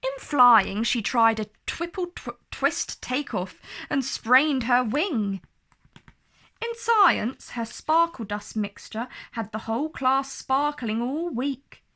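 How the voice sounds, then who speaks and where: A woman reads a storybook aloud for children. Her voice is high and moves slowly and deliberately through the text. She is expressive, with plenty of rise and fall. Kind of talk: scripted storybook narration read aloud.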